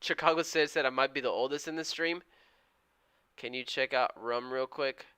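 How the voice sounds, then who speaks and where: A young man in his twenties hosts a live trading stream, with a bright, forceful tone and a crisp, smooth voice. He is upbeat and friendly, drops casual slang, and keeps thanking viewers. The sound is dry and close, with no room around it. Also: mid-range voice, moderate pace, no echo. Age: 20s. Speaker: live-stream day trader.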